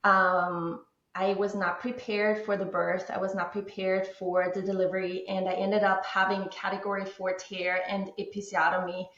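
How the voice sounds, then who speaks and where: A woman from Austria with an Austrian German accent, German her first language, calmly telling her story as a podcast guest from a home office. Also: medium pitch, moderate pace, roomy sound, muffled recording. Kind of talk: podcast interview.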